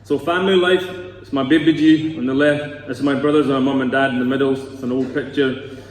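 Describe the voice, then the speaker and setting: A man's voice: medium pitch, steady tone, a little fast. A man in his thirties with a Scottish accent, giving an earnest, rambling personal talk to a congregation. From Scotland. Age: 30s. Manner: earnest, rambling, with asides to the audience. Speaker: speaker at a Sikh gurdwara. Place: large echoey gurdwara hall. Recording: echoey hall recorded from a distance.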